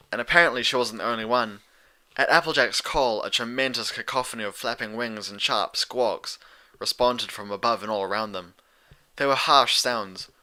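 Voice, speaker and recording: A man's voice: on the low side, pushed and bright. A man reading a story aloud as a scripted audiobook narrator.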